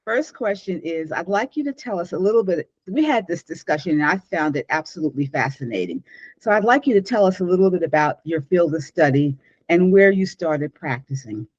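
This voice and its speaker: A woman hosts a podcast and questions her guest with playful enthusiasm. Her pitch swings up and down freely. The sound is dry but dull and lo-fi. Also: lively intonation, fairly quick, a little mellow, no echo. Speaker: podcast host.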